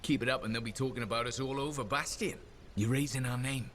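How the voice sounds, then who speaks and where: A man's voice: medium pitch, steady tone, quick pace, fairly bright tone, a little hiss or hum, lightly acted. A cheerful mercenary pal from a video game cutscene, as a man voices him. He has a mid-range voice and an upbeat, yarn-spinning way of talking. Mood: friendly. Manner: upbeat, storytelling. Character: friendly, chatty male mercenary buddy.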